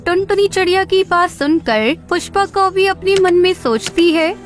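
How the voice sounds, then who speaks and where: Female narrator for children's fables, extremely high voice, scripted storytelling over a music bed. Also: moderate pace.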